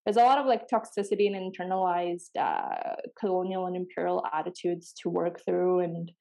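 Gravelly, mellow-voiced woman talking earnestly. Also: lowish voice, steady tone, normal speed. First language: Pashto and Farsi. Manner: earnest, searching, many restarts. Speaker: podcast co-host. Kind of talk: reflective conversation.

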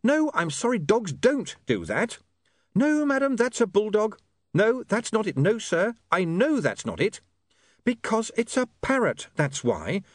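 A man voicing a harassed, brisk, insistent young woman in an audiobook. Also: sits high, sing-song, no room sound, clean studio sound, pitched above the performer's own voice, full character voice. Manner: insistent, exasperated. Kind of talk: audiobook character voice.

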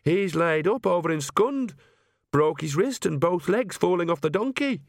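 A man's voice: high voice, expressive, normal speed, no echo, studio quality, voice pushed up. A man voicing a bookish young woman witch in an audiobook, speaking in an earnest, hesitant manner. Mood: earnest, matter-of-fact.

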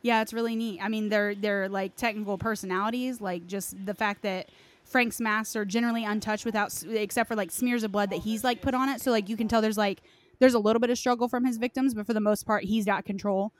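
A woman's voice: mid-range voice, fairly even intonation, fairly quick. A woman in casual, informal conversation, speaking loosely and conversationally as she shares her opinions. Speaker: podcast co-discussant on game lore. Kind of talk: casual chat.

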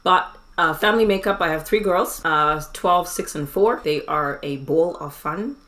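A woman's voice: fairly low pitch, moderate pace. A woman in her thirties from Zimbabwe, co-hosting a casual conversational podcast and chatting easily with frequent ums. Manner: warm, chatty, frequent "um" and laughs. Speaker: podcast co-host.

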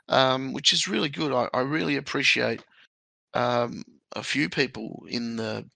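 A man hosting a casual podcast, chatty and quick to laugh at his own stories. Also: mid-range voice, gravelly, bright, forceful tone, no echo, rough recording. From Australia. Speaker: podcast host.

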